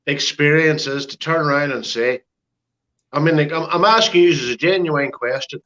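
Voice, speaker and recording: A man's voice: fairly high pitch, normal speed, pushed and bright, lo-fi sound. A man in his forties with a Northern Irish accent, a former soldier talking bluntly and forthrightly in a casual panel chat. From Northern Ireland. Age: 40s. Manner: blunt and forthright, gruff opinions.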